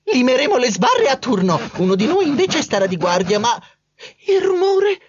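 A nervous, excitable male mouse , voiced by a woman with a very high, shrill squeak and a lively, swooping pitch. The recording is a little dull and lo-fi. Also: moderate pace, breathy edge, full character voice. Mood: plotting. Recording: slightly dull lo-fi audio.

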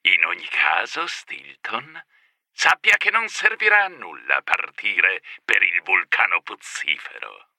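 A sinister rat mastermind, speaks in a deep, forceful voice with slow, hissing menace. His all-knowing delivery swings dramatically in pitch. It is villain acting for a children's audio story. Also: sing-song, no echo, clean studio sound, heavily acted. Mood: cold menace. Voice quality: sibilant, hissing. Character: sinister, mysterious male rat underworld mastermind.

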